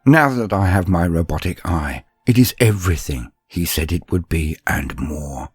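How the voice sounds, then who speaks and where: A man playing an earnest, deferential young male apprentice, telling his story in a measured, confiding, introspective way. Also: low-pitched, expressive, husky, no room sound, pitched below the performer's own voice. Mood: confiding, wondering. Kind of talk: first-person dramatic storytelling.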